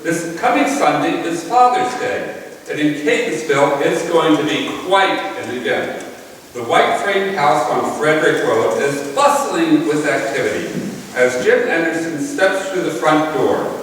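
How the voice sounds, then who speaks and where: A man's voice: fairly high pitch, animated, measured pace, a little hiss or hum. Man playing a lilting old-time radio announcer, echoey hall. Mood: folksy, upbeat. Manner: theatrical, folksy. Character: folksy, theatrical male announcer of an old radio sitcom. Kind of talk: 1950s radio announcer acting. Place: live stage in a large echoey hall. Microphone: far from the mic. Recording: echoey hall from far off, loud music.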